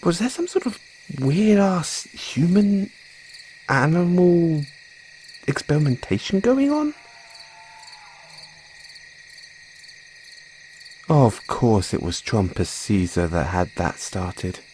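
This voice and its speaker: A man playing a tender, excitable mad scientist boyfriend in an audio roleplay, speaking in a halting, geeky manner. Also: a little high, slight background noise. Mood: astonished, incredulous. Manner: halting, geeky, swinging between gentle and eager. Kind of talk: scripted audio roleplay to listener.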